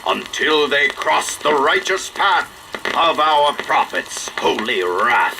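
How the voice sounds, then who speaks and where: An actor playing a zealous propaganda narrator, declaiming solemn rhymes grandly. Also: male voice, a little high, sing-song, slow pace, vocal fry, background noise, heavily acted. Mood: righteous.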